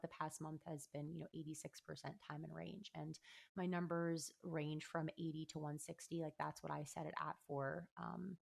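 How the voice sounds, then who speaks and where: Slightly hoarse, low-voiced American woman podcaster. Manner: chatty, conversational, candid. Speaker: podcast host. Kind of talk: casual solo podcast talk.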